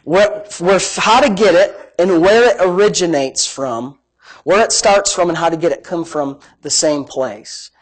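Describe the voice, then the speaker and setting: A man's voice: a little high, a little slow, breathy edge, low-quality recording. A man preaching in a conversational teaching style, with a big voice and an emphatic, folksy manner that repeats phrases for emphasis. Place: live-streamed church service, amplified.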